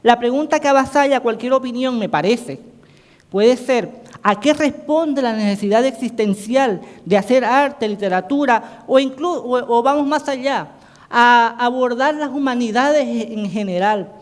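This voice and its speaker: A man whose first language is Portuguese gives an academic conference presentation as a panelist, talking haltingly with many restarts and self-corrections. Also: extremely high pitch. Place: conference hall. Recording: reverberant hall sound.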